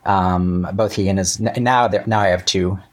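Male interview guest, lowish pitch, casual storyteller who chats haltingly and often rephrases. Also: fairly even intonation.

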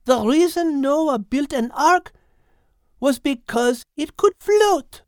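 A man voicing a thoughtful, grave small female animal in a storybook, explaining things in a solemn, deliberate way. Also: very high voice, lively intonation, no room sound, studio quality, voice pushed up, full character voice. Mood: grave realization.

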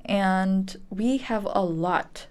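A woman's voice: mid-range voice, very slow, darkish tone, clear, resonant tone. Nineteen-year-old Indonesian-accented woman, casual chat. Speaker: podcast guest, first-year student.

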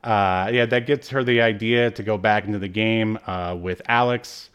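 A man with a fairly low voice co-hosts a podcast. He talks casually and haltingly, feeling his way through a recap as he checks his notes. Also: steady tone, a bit bright, smooth. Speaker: podcast co-host. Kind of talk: casual chat recapping a TV episode.

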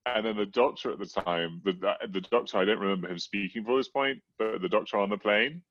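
A middle-aged man with a low voice is being interviewed and recounting personal experiences. He speaks haltingly, stammering over and repeating words as he builds each sentence. The recording is somewhat dull and muffled. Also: dry recording. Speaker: interview guest. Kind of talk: personal storytelling in conversation.

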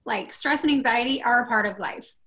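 A husky-voiced woman talks openly as a podcast guest, telling her stories with lively expression. Her voice sits a little high for a woman. She speaks in a relaxed, conversational way. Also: a little fast. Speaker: podcast guest, confidence coach. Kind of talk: casual interview conversation.

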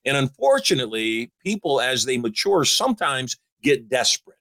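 A man's voice: medium pitch, very animated, moderate pace, fairly bright tone, dry recording. A man in his sixties giving upbeat, emphatic advice as a show host. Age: 60s. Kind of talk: motivational call-in advice.

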